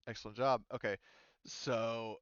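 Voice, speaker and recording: A man's voice: mid-range voice, fairly even intonation, a little slow, clear, resonant tone, no room sound, dull audio. A man narrating and explaining rules casually as a tabletop game master. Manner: informal, talks through rules as he reads them.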